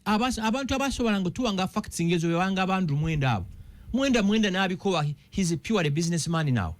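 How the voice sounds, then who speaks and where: A Ugandan man on a radio talk show, talking politics in an animated way and firing one rhetorical question after another. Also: high-pitched, very fast. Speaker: political commentator.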